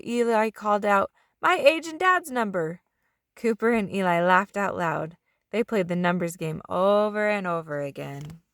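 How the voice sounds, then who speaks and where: A woman's voice: on the low side, a bit bright, no room sound, clean studio sound. A woman reading a children's storybook aloud as a narrator, drawing out the ends of some phrases. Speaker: children's book reader.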